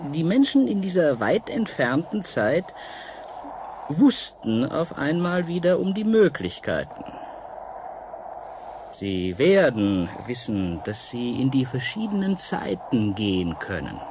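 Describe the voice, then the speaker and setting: An actor in a radio play voicing a seductive, mysterious painter from an ancient world, coaxing and dramatic with halting pauses. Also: male voice, mid-range voice, big pitch swings, darkish tone, slight background noise. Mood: mysterious, solemn.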